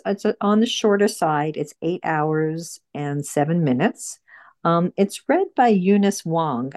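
A woman hosting an informal book group discussion, speaking haltingly and often repeating her phrases. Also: sits low, darkish tone.

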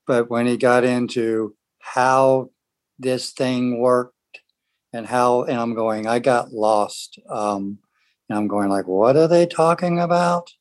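A man talking casually in a book discussion group, in a halting, rambling way. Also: medium pitch, fairly even intonation, no echo. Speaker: book club participant.

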